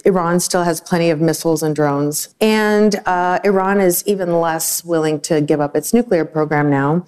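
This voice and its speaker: A woman journalist from Russia talks on a panel in a slightly low voice. She is brisk and wry, and she lines her arguments up one after another. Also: a little mellow, clear, resonant tone. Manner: brisk, wry, lays out points in sequence. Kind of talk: panel discussion commentary.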